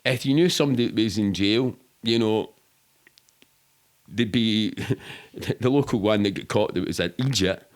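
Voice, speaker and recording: A man's voice: on the low side, moderate pace. A middle-aged man with a Glaswegian accent, telling rambling, sweary, matter-of-fact anecdotes in casual interview chat. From Scotland. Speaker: podcast guest.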